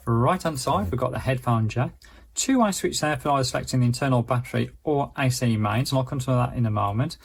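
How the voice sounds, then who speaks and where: A man's voice: medium pitch, creaky, slightly rough. A man giving a casual, chatty product review, explaining features as he demonstrates them.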